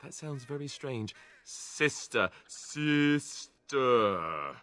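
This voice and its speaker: A man's voice: mid-range voice, animated, drawn-out words, pushed and bright, exaggerated voice. An actor playing an earnest, self-conscious young man in a video game, nervously rambling. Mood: nervous hope.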